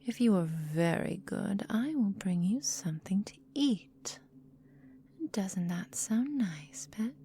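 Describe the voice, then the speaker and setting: A woman voicing a menacing, possessive vampire mistress in a whispered ASMR roleplay, calm and deliberate, breathy, close to the microphone. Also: mid-range voice, a little hiss or hum. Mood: darkly soothing. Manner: slow, breathy, deliberate, coolly domineering.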